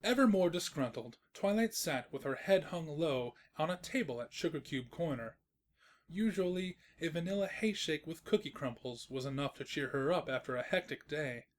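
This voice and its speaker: A woman's voice: low voice, animated, moderate pace. A woman narrating a scripted comedic story as its storyteller.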